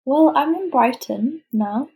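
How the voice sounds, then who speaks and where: A young woman in her twenties, chatting in a relaxed, unhurried way with a husky voice. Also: high voice, poor audio. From Norfolk, England. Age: 20s. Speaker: podcast guest, master's student. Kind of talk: casual chat.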